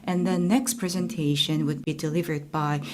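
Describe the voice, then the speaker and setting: A woman's voice: low-pitched, steady tone, moderate pace. A woman moderating a forum session, announcing speakers in a polite, formal way. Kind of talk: scripted moderation.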